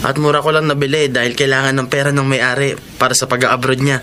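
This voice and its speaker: An actor playing a humble, eager young graduate, earnest and respectful, in a Filipino radio drama. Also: male voice, medium pitch, flat delivery, fast, close to an everyday voice. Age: young adult.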